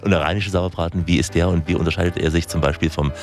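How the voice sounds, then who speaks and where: A man's voice: deep voice, fairly even intonation, quick pace, a little mellow, faint music behind. A man hosting a travel report, moving between narration and interview questions. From southern Germany or further south. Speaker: host and reporter.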